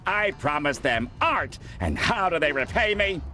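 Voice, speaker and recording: A disdainful cartoon film director. He draws out his theatrical insults slowly in a high, forceful voice. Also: sing-song, soft background music, heavily acted. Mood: disdainful, exasperated. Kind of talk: cartoon game acting.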